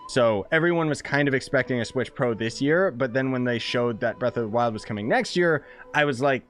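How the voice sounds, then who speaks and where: A man's voice: mid-range voice, a little fast, fairly bright tone. A man chatting casually and informally to viewers as he streams a game, explaining things as he goes. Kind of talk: casual live-stream chat and game commentary. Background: game music.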